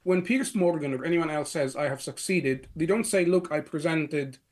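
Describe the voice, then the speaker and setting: A man's voice: on the high side, normal speed. A middle-aged man from Egypt, a guest answering interview questions in long runs full of "you know" and restarts. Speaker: guest, security analyst.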